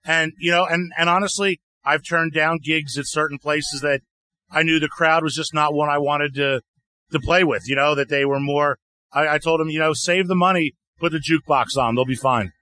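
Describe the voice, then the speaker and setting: Bright, chatty male singer-songwriter. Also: normal speed, no echo. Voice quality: deeper voice. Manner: relaxed, chatty, frequent "you know" fillers. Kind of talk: casual interview answers. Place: outdoor music festival.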